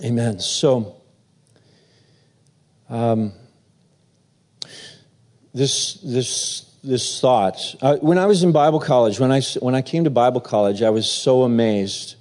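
A man preaching as pastor, halting with many fillers as he talks, then reading scripture aloud. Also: mid-range voice, expressive, darkish tone.

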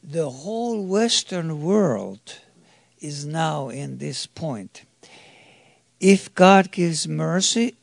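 Slow, gravelly-voiced preacher man. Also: on the high side, a little mellow, dry recording. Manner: emphatic, halting, with restarts and questions to the listeners. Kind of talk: sermon to a congregation.

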